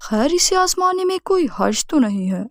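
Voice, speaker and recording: A woman's voice: high-pitched, sing-song, moderate pace, no echo, studio quality, pitched above the performer's own voice. A woman voicing a poor, lonely, dreamy young man who plays guitar, speaking simply and earnestly in a storybook. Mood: hopeful.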